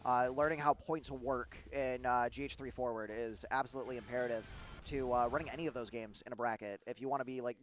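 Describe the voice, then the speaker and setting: A man's voice: mid-range voice, fairly even intonation, fast. A young man with a Midwestern accent, commentating a live gaming tournament in a rambling way, with fillers and restarts. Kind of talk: live esports play-by-play commentary. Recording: guitar game music underneath.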